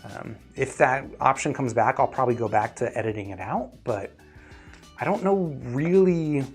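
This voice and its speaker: A man's voice: mid-range voice, expressive, moderate pace, husky, soft background music. A man hosting a live stream, talking casually and explaining his thinking to viewers in a conversational way. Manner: conversational, explanatory, often checking in with "right?". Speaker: host of an earphone review live stream.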